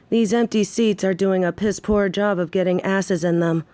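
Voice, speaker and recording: A woman's voice: medium pitch, mellow, no echo, natural voice. A woman playing a menacing, short-tempered yet protective female mafia boss in a scripted audio roleplay, with a theatrical, brooding delivery.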